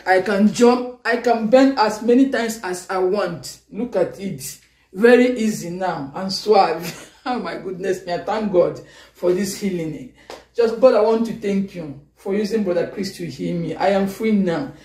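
A woman with a Nigerian accent giving an excited, grateful testimony at home, filmed on a phone from a few steps away. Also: on the low side. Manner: excited and grateful, moving around while talking. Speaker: testimony giver. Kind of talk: video testimony. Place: bedroom at home. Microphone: phone camera from a few steps away. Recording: echoey room, distant phone mic.

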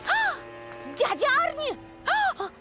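Extremely high-pitched little girl critter. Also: sing-song, slow pace, full character voice. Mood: alarmed. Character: small young girl animal, eager, excitable, anxious. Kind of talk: children's cartoon voice acting. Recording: loud music behind.

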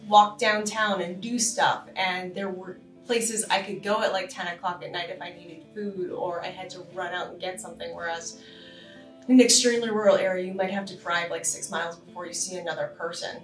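A young woman from Boston on a casual podcast, animated and laughing often as she talks. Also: fairly low pitch, a little fast, soft background music. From Boston, USA. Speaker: podcast co-host. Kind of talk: casual chat. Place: conference room, indoors. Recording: roomy sound from a distant mic.

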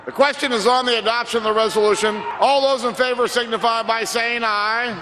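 A man chairing a convention, reading a formal vote procedure in a rapid, rote way. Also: extremely high pitch, fairly even intonation, over a noisy crowd, very noisy. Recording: distant PA in a loud arena.

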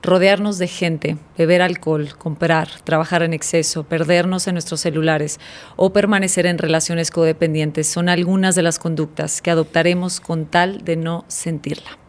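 A woman hosting a podcast, reading a prepared introduction and then chatting warmly and effusively with her guest in a studio. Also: lowish voice, fairly even intonation, normal speed. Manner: warm, effusive, reads from paper. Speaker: podcast host. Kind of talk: scripted intro then casual interview. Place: dark studio set, indoors. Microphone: studio mic on boom arm.

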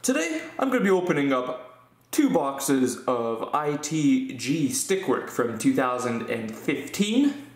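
A man at a table casually hosts his card-opening channel, talking in a mid-pitched, easygoing way. He drifts from plain chatter into excited bursts over good pulls. His voice bounces around a roomy, echoing space. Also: slow. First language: English. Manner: chatty, upbeat, with fillers and excited exclamations. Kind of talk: casual hobby commentary. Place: indoor room at a tabletop.

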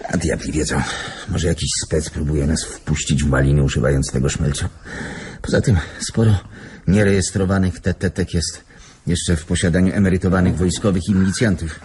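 An actor playing a streetwise, sardonic police detective who talks colloquially in a radio play. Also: male voice, low voice, normal speed, darkish tone, slight background noise, natural voice. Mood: sardonic, musing. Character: wry, streetwise, unhurried male police detective. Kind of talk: radio drama acting.

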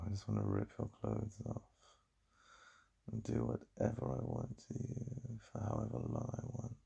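A very dark, soft voice with heavy vocal fry: a man acting as a besotted English boyfriend in an ASMR roleplay. He speaks with a British accent, breathy and intimate, confiding his yearning to his lover. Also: animated, measured pace, highs cut off, exaggerated voice. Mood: desirous, intimate. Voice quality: deep, breathy, gravelly.

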